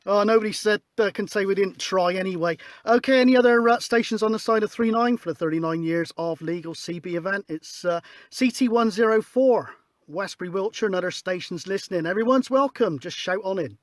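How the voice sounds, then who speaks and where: A high-pitched male voice works the CB radio, calling for other stations in a relaxed, informal style. He talks like a matey hobby operator leading the exchange. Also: fairly quick, no echo, clean studio sound. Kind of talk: casual radio calling and chat. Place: outdoors on a hillside in Wiltshire, at a CB radio set.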